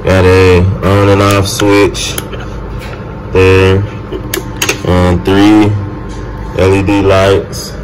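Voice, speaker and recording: A man's voice: sits low, monotone, drawn-out words, pauses often. A man in his thirties hosting a casual DIY video, informally talking through each step as he works in a noisy home room. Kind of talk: casual hands-on product demo and tutorial. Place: small home game room, indoors.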